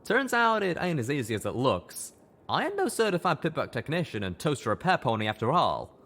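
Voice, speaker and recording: A man's voice: a little high, wildly swooping pitch, brisk, a bit bright, voice pushed up, full character voice. A plain-spoken cowboy pegasus played by a man with a drawl. He is folksy, blunt and loyal, and his lines swing animatedly in a storybook drama. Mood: sheepish.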